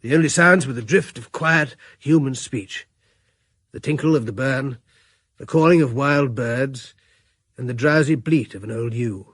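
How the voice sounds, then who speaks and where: Guy narrating a radio play, calm and reflective, dry close sound, bit breathy. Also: medium pitch, a little slow, dry recording. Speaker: narrator and lead character. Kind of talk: first-person radio drama narration.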